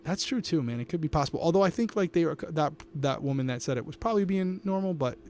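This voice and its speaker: A man's voice: on the high side, expressive, quick pace, a little mellow, slight background noise. A man co-hosting a casual live watch-along, upbeat and talking straight to the chat, close on his microphone. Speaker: podcast co-host. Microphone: podcast microphone.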